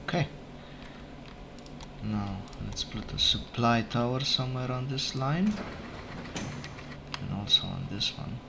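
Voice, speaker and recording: A man whose first language is not English, casually commenting on his game as he plays, unhurried and thinking aloud, often correcting himself. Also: medium pitch, expressive, vocal fry, background noise. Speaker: let's play gamer. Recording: game music playing behind. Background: game music.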